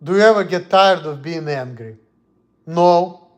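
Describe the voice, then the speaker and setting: A man's voice: fairly high pitch, unhurried. A man from Russia with a Russian accent, talking bluntly and heatedly on a casual livestream in broken English. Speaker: streamer.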